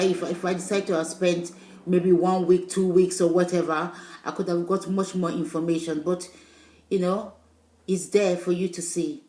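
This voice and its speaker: A woman's voice: lowish voice, mellow, roomy sound, poor audio. A woman vlogger, English not her first language, talking to her viewers casually with emphatic, insistent points and loose rambling asides. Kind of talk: casual video talk to viewers.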